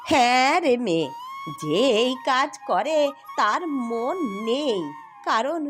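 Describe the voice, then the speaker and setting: Shrill, bossy old village granny. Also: female voice, very animated, a bit bright, faint music behind, exaggerated voice. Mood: grave, complaining. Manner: scolding, emphatic, drawn-out warnings. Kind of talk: exaggerated Bengali cartoon voice acting.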